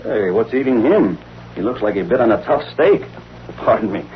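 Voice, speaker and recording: Man playing a brash, animated radio-era reporter. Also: lowish voice, normal speed, lightly acted. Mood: curious. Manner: slangy, pushy, excitable. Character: brash, eager, slangy newspaper reporter. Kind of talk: 1930s-40s radio acting. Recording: hissy, muffled old radio recording.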